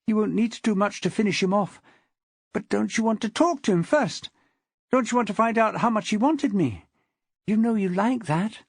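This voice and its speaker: A woman's voice: medium pitch, expressive, moderate pace, vocal fry, husky, no echo, studio quality, voice pushed up. A woman voicing a placating, consoling woman with a sing-song, almost hypnotic delivery in an audiobook. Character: placating woman soothing her dangerous ex-husband, sing-song.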